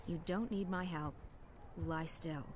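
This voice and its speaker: A woman's voice: on the low side, fairly even intonation, measured pace, slight background noise, lightly acted. An actress playing a curt, guarded, war-weary young rebel woman in a fantasy game, terse and no-nonsense. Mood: curt, impatient.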